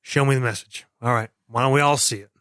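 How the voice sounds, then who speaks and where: A man playing a gruff, defensive engineering chief in a tabletop game, blunt and confrontational. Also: mid-range voice, fairly even intonation, quick pace, fairly bright tone, no echo, studio quality, natural voice. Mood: angry, defensive. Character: gruff, defensive, hot-tempered male starship engineering chief.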